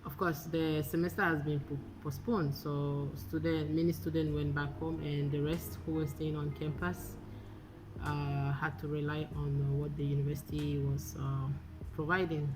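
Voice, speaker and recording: A woman from Benin, a recent graduate, recounting her experience in a halting way with frequent uhs. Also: deep voice, little pitch movement, background noise. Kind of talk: personal testimony.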